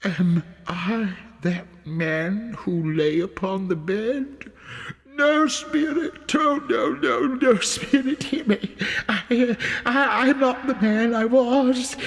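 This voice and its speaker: A man's voice: extremely high pitch, slow pace, pitched above the performer's own voice, exaggerated voice. A man voicing an old, repentant miser in an audiobook, stammering and repeating himself in breathless exclamations. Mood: anguished pleading. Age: older.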